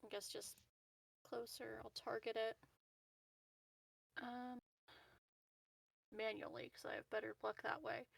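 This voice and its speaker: A woman's voice: fairly high pitch, little pitch movement, gravelly, a bit bright, dry recording, low-quality recording. A woman chatting casually as a player in an online tabletop game, hesitantly talking through her moves. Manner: hesitant, halting, self-narrating moves. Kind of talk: casual chat during online game play. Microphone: close desk mic on a voice call.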